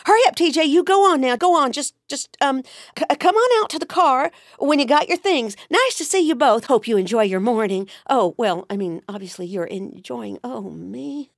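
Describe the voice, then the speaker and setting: A woman's voice: high-pitched, lively intonation, moderate pace, vocal fry, no room sound, clean studio sound, voice pushed up. A woman voicing a flustered middle-aged mother who stammers and rambles, tripping over her words in embarrassment.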